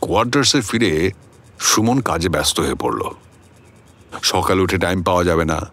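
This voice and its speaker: Deep-voiced, brooding older gentleman. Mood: reflective. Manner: musing, expressive, rhetorical. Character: older introspective gentleman recounting his uneasy past, philosophical. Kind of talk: dramatic first-person storytelling in a radio play. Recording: music bed behind the voice.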